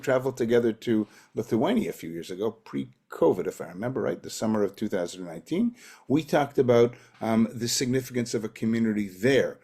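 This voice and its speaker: A man's voice: lowish voice, a little mellow. Canadian man, online lecturer, conversational and self-deprecating, heard over a video call. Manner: chatty, self-deprecating asides.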